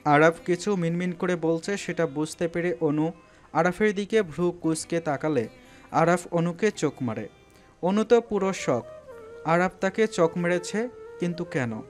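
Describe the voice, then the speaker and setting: Guy narrating an audio story, fairly high voice, scripted and dramatic, music under him. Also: a little slow.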